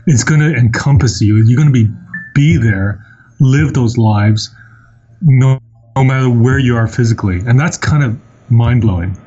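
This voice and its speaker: A man from Hong Kong speaking as a podcast guest, thoughtful and measured with some hesitation. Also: medium pitch, mellow, slightly rough, dull audio, soft background music. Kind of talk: casual interview talk.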